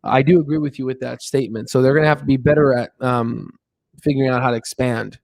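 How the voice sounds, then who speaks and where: Casual guy hosting a livestream, kinda halting, thinking out loud, close dry sound. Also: mid-range voice, steady tone, vocal fry, no echo. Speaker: live stream host. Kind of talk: casual live stream commentary.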